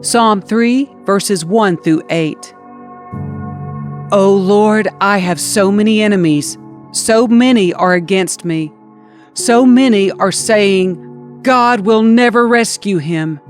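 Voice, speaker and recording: A woman's voice: medium pitch, slow, soft background music. A woman reading scripture aloud as a scripted audiobook narration. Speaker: scripture reader.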